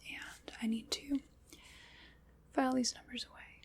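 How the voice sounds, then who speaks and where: A woman whispering breathily in an ASMR roleplay, soft, unhurried, formal and reassuring. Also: high voice, steady tone, vocal fry.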